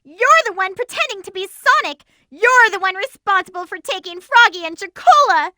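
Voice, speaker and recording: A woman voicing an energetic, determined young girl heroine in a video game, with a perky, emphatic cartoon delivery. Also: extremely high pitch, normal speed, no echo, studio quality, heavily acted. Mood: feisty, indignant. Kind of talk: video game voice acting, tips and battle shouts.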